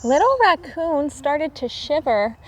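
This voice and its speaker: Female read-aloud teacher: high, very animated, unhurried storytelling for children. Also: noisy background. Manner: expressive, deliberate.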